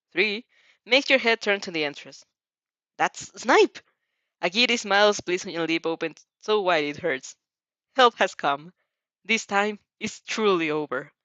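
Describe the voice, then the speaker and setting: A woman reading a story aloud in an expressive, amused way, sometimes stumbling and rereading phrases. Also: fairly low pitch, normal speed, no room sound, muffled recording. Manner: giggly, stumbles over phrases and rereads them. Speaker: fan fiction reader reacting as she reads.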